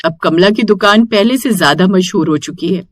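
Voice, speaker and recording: A woman's voice: medium pitch, normal speed. A woman reading scripted narration for a story.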